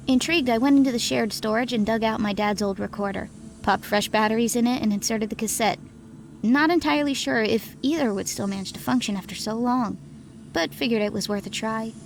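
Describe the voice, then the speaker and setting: A woman's voice: fairly high pitch, gravelly. A woman narrating a scary story in the first person, reading from a script.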